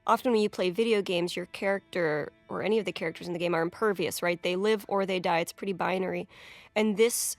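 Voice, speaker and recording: A woman in an unscripted analytical discussion, speaking in a measured, articulate way. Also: lowish voice, steady tone, faint music behind.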